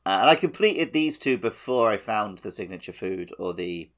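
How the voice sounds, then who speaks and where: Lower-voiced man in casual podcast banter. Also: expressive, normal speed. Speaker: podcast co-host. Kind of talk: casual gaming discussion.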